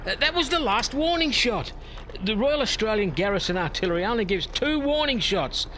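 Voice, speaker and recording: A man's voice: sits high, expressive, normal speed, pushed and bright, slight background noise. A man playing a pleading, anxious ship pilot. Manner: pleading, urgent, hesitant "uh"s. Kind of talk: community radio play acting.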